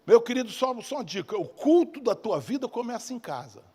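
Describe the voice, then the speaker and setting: High, husky Brazilian preacher in a reverberant hall. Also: male voice, sing-song, a little fast, warm tone. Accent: Brazilian, from Rio de Janeiro. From Rio de Janeiro, Brazil. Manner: emphatic, repeats key phrases, asks rhetorical questions. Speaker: preacher, bishop. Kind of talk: evangelical sermon. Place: church service in a large hall.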